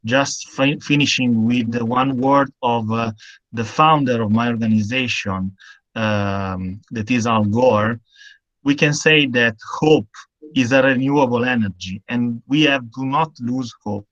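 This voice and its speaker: A man's voice: mid-range voice, unhurried, a bit bright, no room sound. A man from northern Italy with an Italian accent, speaking hesitantly in non-native English as a guest speaker in an online panel discussion. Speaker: guest speaker on climate action. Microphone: video call. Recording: dull, lo-fi video call audio.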